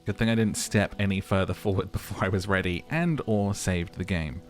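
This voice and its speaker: A man's voice: deep voice, fairly even intonation, brisk, faint music behind. A man narrating a game playthrough, mixing dramatic reading with casual commentary.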